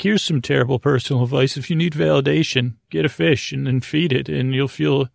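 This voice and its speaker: A man hosts a bedtime podcast in a heavily fried, gravelly voice at an ordinary male pitch. He wanders through silly tangents, hesitating and restarting, often leaving sentences unfinished. The sound is dry, with no room echo at all. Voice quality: gravelly, creaky. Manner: meandering, hesitant, silly, trails off mid-sentence. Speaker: podcast host. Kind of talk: rambling bedtime monologue to help listeners fall asleep.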